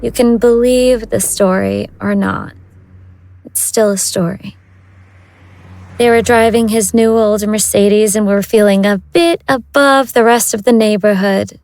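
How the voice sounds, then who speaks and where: A woman's voice: mid-range voice, steady tone, unhurried, darkish tone, dry recording, lightly acted. A woman narrating a scripted audio drama as its storyteller.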